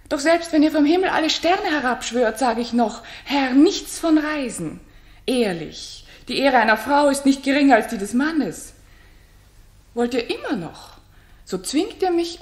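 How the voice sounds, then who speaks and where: An actress playing a gracious, witty queen in a 1960s German radio drama, with playful, courtly eloquence. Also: high voice. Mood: playfully insistent. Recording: roomy 1960s radio studio.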